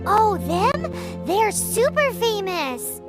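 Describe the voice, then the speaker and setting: A woman playing a tiny, excitable childlike fairy companion in a video game, speaking in cute exclamations. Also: very high-pitched, extremely slow, over music, full character voice. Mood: curious, excited.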